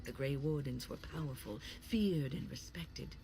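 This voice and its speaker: An actress playing an older woman mage in a fantasy video game, speaking in formal, measured phrases as an earnest, kindly counselor. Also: extremely low pitch, rough recording. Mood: wistful storytelling. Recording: game music behind the voice.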